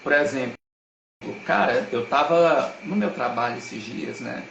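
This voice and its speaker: A man's voice: mid-range voice, lively intonation, measured pace, vocal fry, fairly bright tone, highs cut off, slight background noise. A man talks as a guest on a live stream, earnest and emphatic, with a lot of slang. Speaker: guest speaker. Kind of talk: casual live-stream talk. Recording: roomy echo.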